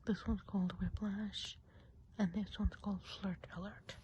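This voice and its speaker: A woman voicing a chatty, gossipy witch of the woods in an ASMR roleplay, speaking in a soft breathy whisper and confiding in the listener. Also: medium pitch, flat delivery, a little slow, muffled recording, natural voice. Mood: gentle, musing. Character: chatty gossipy woodland witch planning enchanted festival.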